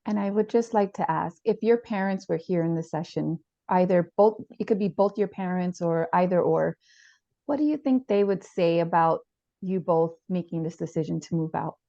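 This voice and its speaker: An actress playing a therapist, asking measured, gentle questions. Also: female voice, fairly low pitch, vocal fry, no room sound, muffled recording. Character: calm, probing female therapist. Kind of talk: improvised dramatized therapy session.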